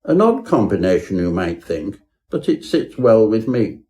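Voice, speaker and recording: Man playing a timid, whining Victorian gentleman. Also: fairly low pitch, sing-song, measured pace. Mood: florid, earnest. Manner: meek, whining, ornate Victorian phrasing. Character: timid, trembling male athlete-antiquarian, whiny and florid. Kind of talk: parody Victorian ghost story telling.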